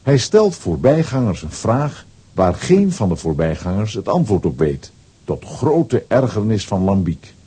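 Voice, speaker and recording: Husky male storyteller, low and animated. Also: unhurried. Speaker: narrator. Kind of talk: scripted storytelling narration for a children's radio play.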